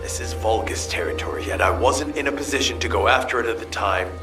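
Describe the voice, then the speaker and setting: An actor playing an earnest field commander in a video game, serious, brisk and commanding. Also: male voice, lowish voice, over music, lightly acted. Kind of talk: dramatic video game cutscene acting.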